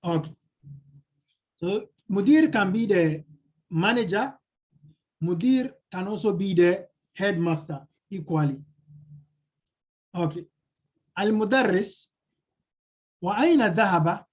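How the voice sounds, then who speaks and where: A man's voice: high voice, expressive, slow pace, long pauses, darkish tone, slightly rough. A male teacher explaining a textbook lesson, translating it line by line and repeating key phrases. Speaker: Arabic teacher.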